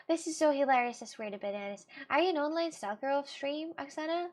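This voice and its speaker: A woman's voice: sits high, normal speed. Chatty Russian-born woman streamer. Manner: animated, chatty, repeats herself. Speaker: live streamer. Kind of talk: casual live-stream chat.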